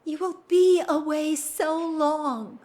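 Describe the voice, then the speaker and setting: Woman playing a slow-speaking, sorrowful noble lady. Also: sits high, fairly even intonation, darkish tone. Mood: wistful. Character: restrained, sorrowful upper-class married lady hiding her love. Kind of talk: stage-style period radio drama acting.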